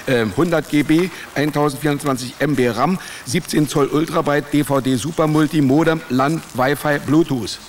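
A man's voice: on the high side, normal speed, a little hiss or hum, lightly acted. An actor playing an awkward, wisecracking male private detective with an offhand way of talking in a radio drama. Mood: businesslike. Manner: hesitant, stammering, offhand. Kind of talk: German radio drama acting.

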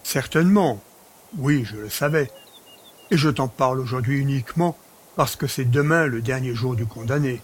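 A man's voice: medium pitch, moderate pace, breathy edge, close to an everyday voice. An actor playing a smug, teasing male relative in a French audio drama, with a familiar, needling manner. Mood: sly, knowing.

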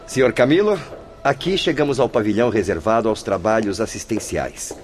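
A man's voice: fairly low pitch, lively intonation, a little fast, close to an everyday voice. A man with a Brazilian accent playing a courteous, kindly young nurse in a radio drama, speaking formally and theatrically. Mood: courteous, businesslike. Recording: music behind the voice.

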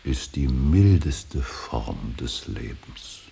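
An extremely deep-voiced older father broods over his grief in a solemn radio drama. He declaims very slowly and haltingly, weighing each phrase and often sinking into a breathy whisper. There is a slight hiss on the recording. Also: big pitch swings, warm tone, heavily acted. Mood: hushed, musing. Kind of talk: German radio drama acting, solemn monologue. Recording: hissy, faintly noisy radio recording.

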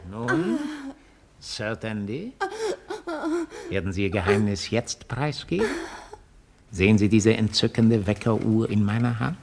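An actor playing a mockingly courteous, sadistic gangster boss in a 1950s German radio thriller parody. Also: male voice, fairly low pitch, animated, creaky. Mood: menacing. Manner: silky mock politeness, drawn-out menace. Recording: reverberant old radio studio, faint hiss.

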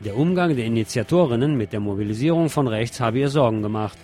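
A man's voice: medium pitch, lively intonation, quick pace, over loud music. A man presenting on the radio, reading scripted news and adding commentary.

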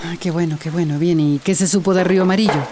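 A woman's voice: fairly low pitch, fairly even intonation, fast, background noise, close to an everyday voice. An actress playing a composed woman in charge of emergency coordination in a radio drama, with a Central American Spanish accent.

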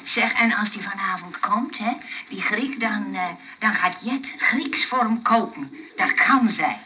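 A woman acting a chatty, well-meaning, bossy nurse in a Dutch radio comedy play, brisk and hesitating mid-sentence. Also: mid-range voice, bright, forceful tone. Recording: noisy, muffled old radio-play recording.

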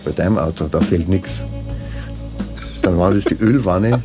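A man's voice: deep voice, a little slow, music in the background. A man chatting and telling stories in a casual way. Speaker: band musician. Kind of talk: casual conversation and storytelling.

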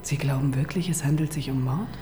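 An actress in a radio crime drama playing the smooth, suggestive woman who manages a dating site. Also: a bass voice, brisk, mellow, slight background noise.

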